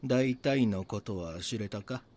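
An actor with a Kansai accent playing a brazen, scheming small-time swindler in a voice drama, talking in a rough, conspiratorial, boastful way. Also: male voice, fairly low pitch, unhurried, darkish tone. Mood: secretive. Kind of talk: voice drama acting, true-crime reenactment.

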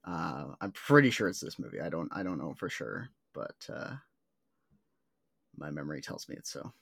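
A man's voice: very deep voice, big pitch swings, normal speed, vocal fry, a bit bright, husky, no room sound, studio quality. A man co-hosting a podcast, chatting casually about movies with many fillers like "like" and "uh". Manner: rambling, lots of "like" and "uh". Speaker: podcast co-host.

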